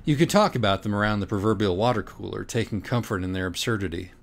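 A man reading a parody audiobook in a dramatic, deliberate, mock-earnest way. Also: lowish voice, gravelly. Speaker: audiobook reader. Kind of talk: dramatic scripted narration of a parody children's book.

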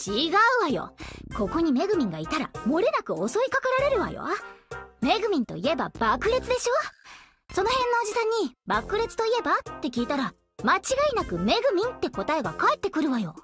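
A woman playing a vain, whiny young goddess in an anime audio drama, haughty, dramatic and quick to plead. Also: extremely high pitch, huge pitch swings, a bit bright, faint music behind, full character voice. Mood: smug, scheming.

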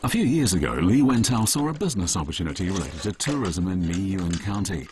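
A man reading scripted documentary narration in a measured, even way. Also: low voice, slight background noise. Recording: voice-over with music bed.

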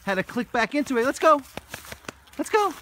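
A man's voice: very high voice, sing-song, normal speed, sharp tone, a little hiss or hum. A man with an American accent, a dog trainer explaining obedience steps while walking his dog on a hiking trail and calling commands to it. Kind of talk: outdoor training demonstration.

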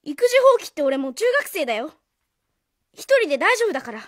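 A woman's voice: high-pitched, sing-song, brisk, fairly bright tone, no room sound, clean studio sound. A woman playing a guarded, defiant boy in his early teens in a radio drama, speaking plainly and boyishly. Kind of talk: Japanese radio drama acting.

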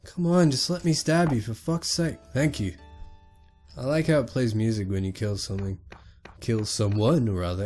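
A sick young man giving casual, excitable let's play commentary on a retro game, swearing often and talking to the characters on screen. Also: medium pitch, slight background noise. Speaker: gaming YouTuber.

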